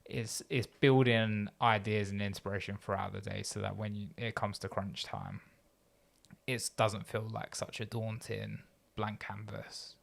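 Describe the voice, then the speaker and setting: A man hosts a conversational podcast, his voice low and gravelly. He thinks aloud as he talks and asks long, winding questions that stop and restart. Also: steady tone, unhurried. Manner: thoughtful, rambling questions with restarts. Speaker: podcast host. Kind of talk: conversational interview.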